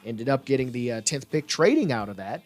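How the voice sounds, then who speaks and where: A man's voice: medium pitch, big pitch swings, brisk, dry recording, soft background music. A man hosting a sports podcast, chatting in an informal, jokey, slangy way. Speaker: podcast host. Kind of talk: casual sports podcast chat.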